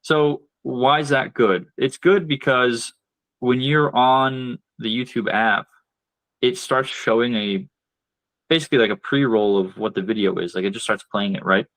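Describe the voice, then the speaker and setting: A man's voice: mid-range voice, fairly even intonation, unhurried, a bit bright, no echo, rough recording. A man guest on a podcast, explaining ideas step by step in casual talk with frequent fillers and asides. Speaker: podcast guest, marketing strategist. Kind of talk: casual advice-giving conversation.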